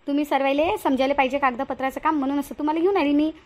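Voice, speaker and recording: A woman plays a bossy but cautious village woman from a moral-story cartoon. Her voice is high and she talks briskly. The rural Vidarbha accent is homely and colloquial, full of questions. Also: fairly even intonation, lightly acted. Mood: modest, explaining. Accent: rural Vidarbha Marathi.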